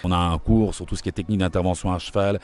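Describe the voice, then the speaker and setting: Middle-aged Belgian man, deep and quick, dark mellow tone; informative interviewee. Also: steady tone. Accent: Belgian French. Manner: brisk and informative, with frequent fillers. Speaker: interviewee, equestrian trainer. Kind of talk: explanatory interview talk.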